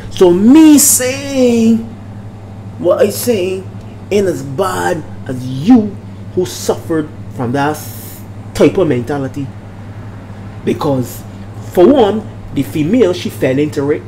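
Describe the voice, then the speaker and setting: An older man with a Bajan accent talks casually as a minister hosting his vlog, preachy and emphatic, repeating phrases and checking in with listeners. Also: fairly high pitch. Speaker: minister and channel host. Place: small room at home, indoors. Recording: noisy room with steady background hum.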